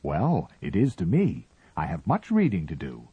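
A man's voice: low-pitched, wildly swooping pitch, normal speed, breathy edge, no room sound, narrow, tinny audio, full character voice. A man reading aloud and voicing a fussy, self-important male Martian official who lectures and sneers. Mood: peevish lecturing. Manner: peevish, lecturing, sarcastic.

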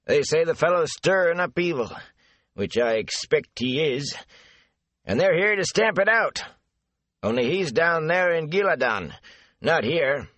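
A man voicing a gossipy, rambling rustic gatekeeper with folksy country speech, high and lively. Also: unhurried, long pauses, dry recording. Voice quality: folksy dialect, rambling and eager.

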